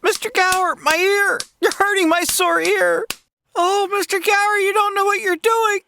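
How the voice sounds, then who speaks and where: Earnest, scared little boy, very high voice, radio-play parody, faint noise behind. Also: steady tone, normal speed, pushed and bright, full character voice. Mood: pleading, frightened. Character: earnest, frightened, pleading young boy. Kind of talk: old-time radio drama parody.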